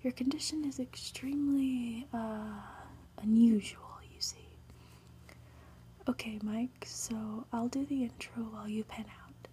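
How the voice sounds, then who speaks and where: A woman voicing a smooth-talking, self-important, evasive male professor in a storybook, with small hesitations. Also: fairly high pitch, fairly even intonation, a little slow, dark tone, slight background noise, lightly acted. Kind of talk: whispered storybook character reading.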